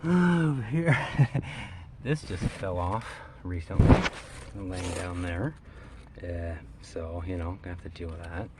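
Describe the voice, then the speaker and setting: A man giving an unscripted, casual vlog walkaround, talking in a laid-back, halting, wryly resigned way. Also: low voice. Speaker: vlogger showing his own vehicle. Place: in and around an old SUV. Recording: noisy, vehicle ambience.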